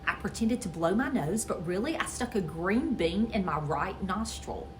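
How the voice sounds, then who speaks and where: Animated woman reading a children's story. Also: mid-range voice, moderate pace, slight background noise. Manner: expressive, theatrical with character voices. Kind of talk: animated dramatic read-aloud.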